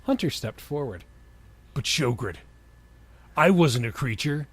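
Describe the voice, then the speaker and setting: A man's voice: mid-range voice, lively intonation, slow pace, slightly rough, dry recording. A man hosting a comic dramatic story reading, narrating with sardonic, sweary banter. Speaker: podcast host and reader.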